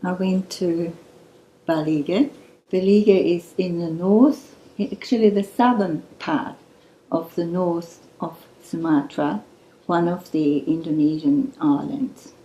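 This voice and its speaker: Slow, mellow-voiced older woman. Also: on the low side, fairly even intonation, roomy sound, lo-fi sound. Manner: hesitant, with fillers. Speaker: church volunteer. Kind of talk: interview testimony.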